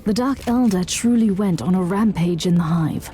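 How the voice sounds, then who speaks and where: A woman's voice: fairly low pitch, normal speed, over music, natural voice. An actress playing a stern, zealous woman inquisitor in a video game. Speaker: inquisitor, player character.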